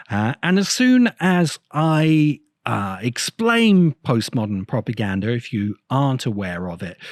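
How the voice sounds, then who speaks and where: Man explaining ideas with very lively intonation. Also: mid-range voice, no room sound, clean studio sound. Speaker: host of a science fiction video essay channel. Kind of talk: explanatory commentary, unscripted video essay.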